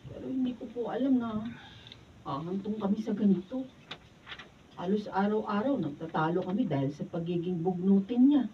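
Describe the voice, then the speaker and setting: A woman's voice: medium pitch, expressive, moderate pace, natural voice. A woman playing a long-suffering, exasperated wife in a Filipino radio drama. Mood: downcast. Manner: apologetic, then scolding back. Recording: distant mic, dull with faint noise.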